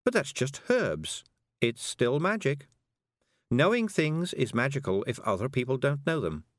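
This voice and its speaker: A man doing a calm, measured witch woman. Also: medium pitch, dry recording, clean studio sound, pitched above the performer's own voice. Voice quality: slow, measured, patient.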